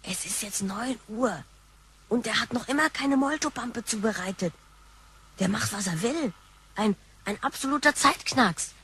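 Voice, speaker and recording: A cheeky granddaughter in a sci-fi radio drama. Her voice is bright, sharp and on the high side. She talks in brash, impatient slang. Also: animated, unhurried, slightly rough, heavily acted. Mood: conspiratorial, grumbling. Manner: brash, blunt, exclamatory.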